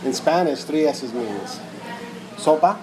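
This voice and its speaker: A man from Puerto Rico with a Puerto Rican accent, telling stories in a relaxed, casual way on a podcast. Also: on the high side, takes it slowly, noisy background. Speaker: podcast co-host. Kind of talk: casual chat.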